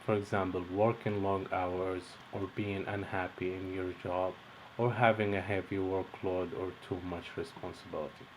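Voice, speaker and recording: Low-voiced young guy from egypt reading his course intro, steady list-like delivery, faint hiss behind. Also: moderate pace, rough recording. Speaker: course instructor. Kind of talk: read-out lesson introduction.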